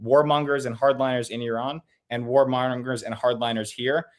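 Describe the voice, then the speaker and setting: Earnest male advocate from the US, mid-pitched, quick and stumbling, heard over a video call. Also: fairly even intonation. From United States. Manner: earnest, rapid, with restarts and nervous laughs. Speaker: advocacy group guest. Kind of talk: interview, political advocacy.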